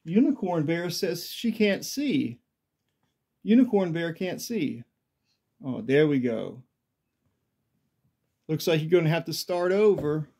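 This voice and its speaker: A parent chatting casually with a small child in a bedroom, gently coaxing with simple questions. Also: medium pitch, lively intonation, slow, pauses often, breathy edge. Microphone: handheld phone behind the camera.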